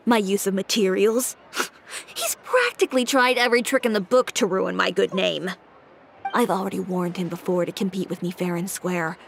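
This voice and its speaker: A woman's voice: medium pitch, a little hiss or hum, lightly acted. A woman voicing a proud, composed fashion designer with a fiery temper in a video game, talking in a dry, pointed way. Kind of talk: game voice acting. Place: recording studio. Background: game music.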